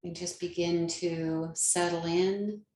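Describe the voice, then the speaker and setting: A woman leading a guided meditation as a yoga nidra teacher, speaking gently and soothingly with long pauses. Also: lowish voice, steady tone, roomy sound, a little hiss or hum.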